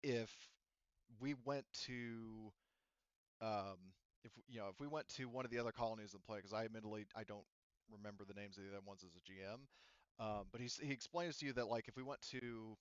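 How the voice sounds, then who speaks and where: A man's voice: on the low side, steady tone, smooth, no room sound. Dull-sounding man as casual game master. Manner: halting, self-correcting, frequent "you know". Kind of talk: narrating a tabletop roleplaying game, casual and explanatory. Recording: dull, lo-fi voice audio.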